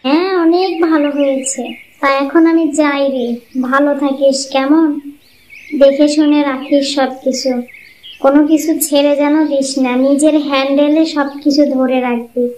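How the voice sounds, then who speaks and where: A woman's voice: sits high, steady tone, moderate pace, a little mellow, close to an everyday voice. A woman voicing a bossy, scheming married woman in a cartoon family folktale, with an insistent, wheedling way of talking. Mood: bossy, advising. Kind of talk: cartoon fairy-tale voice acting. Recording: muffled lo-fi audio, clear background noise.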